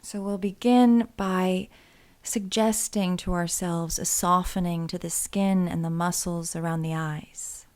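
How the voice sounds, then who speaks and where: A woman with a slightly low voice guides a body scan meditation. She speaks gently and soothingly, taking her time and leaving space between phrases. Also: steady tone. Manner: soothing, gentle, unhurried, with pauses.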